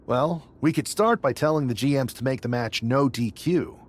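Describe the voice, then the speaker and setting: A husky-voiced male wrestler plotting strategy in a video game story scene, played by a man. He is confident and scheming, and he lays out his plans in a measured, mid-pitched voice. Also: natural voice. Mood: sly, determined. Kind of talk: video game cutscene dialogue.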